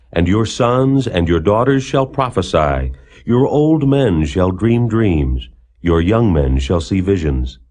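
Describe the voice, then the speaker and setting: A man's voice: lowish voice, a little mellow. Solemn man reading scripture with sweeping intonation. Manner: solemn, declamatory, measured phrasing. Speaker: Bible narrator.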